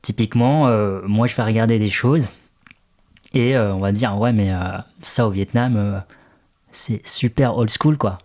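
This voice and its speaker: A man from France talking casually in an interview, thoughtful and halting, with lots of filler sounds. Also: lowish voice, darkish tone. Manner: hesitant, many "euh" fillers, thoughtful. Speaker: interview guest, restaurant co-founder.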